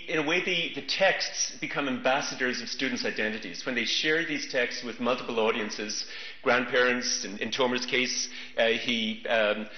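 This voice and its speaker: A middle-aged man giving a conference keynote at a podium microphone, talking in a measured, thoughtful way with frequent pauses and fillers. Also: mid-range voice. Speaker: keynote speaker. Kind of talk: conference lecture. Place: large conference hall. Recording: roomy, dull conference recording.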